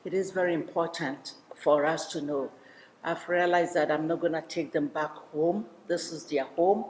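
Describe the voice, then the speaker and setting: A woman speaking earnestly in a documentary interview, an executive director of a community organization who pauses often with fillers. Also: sits low, darkish tone. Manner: earnest, measured, with frequent fillers. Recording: long reverb with faint noise.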